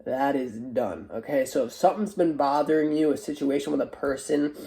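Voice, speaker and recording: Online tarot reader, very mellow timbre, stuffy and nasal, informal and hesitant spiritual guidance. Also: medium pitch, a little fast, vocal fry. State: stuffy, congested nose. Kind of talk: casual spiritual tarot reading to camera.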